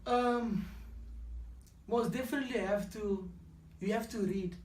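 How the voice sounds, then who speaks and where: A young South African man whose first language is Afrikaans, speaking English with an Afrikaans accent as a rapper guest in a casual interview, relaxed and halting with plenty of fillers. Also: high voice, slight background noise. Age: young adult. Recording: roomy, distant mic.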